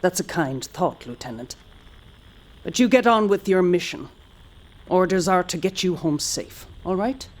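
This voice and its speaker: An actress playing a stoic, resigned mission commander in an audio drama, clipped, restrained and matter-of-fact, with a husky voice. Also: female voice, lowish voice, lively intonation, a little slow, darkish tone, a little hiss or hum, low-quality recording. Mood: composed, firm.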